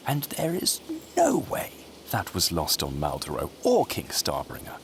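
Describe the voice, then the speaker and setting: An actor playing a scheming, grandiose conspirator in a scripted audio drama, speaking in silky speeches. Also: male voice, sits low, wildly swooping pitch, a little slow, a little hiss or hum, exaggerated voice. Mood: smug, eager. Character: scheming, theatrical male political conspirator relishing intrigue.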